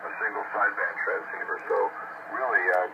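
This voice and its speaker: Through very heavy radio noise comes a man with a fairly low voice, heard over a shortwave receiver. He chats casually as an amateur operator, encouraging and full of congratulations. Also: quick pace, lo-fi sound. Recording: very noisy radio reception from a speaker.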